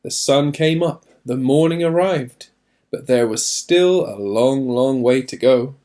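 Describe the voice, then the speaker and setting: A man reading a children's storybook aloud in an expressive narrating style, with deliberate emphasis. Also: medium pitch.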